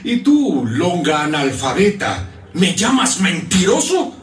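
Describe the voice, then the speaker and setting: Pompous minister, wildly swooping pitch. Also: male voice, big pitch swings, takes it slowly, exaggerated voice. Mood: indignant, scornful. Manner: pompous, scornful. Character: haughty, indignant, contemptuous government minister. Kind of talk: radio drama acting. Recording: echoey playback from far off.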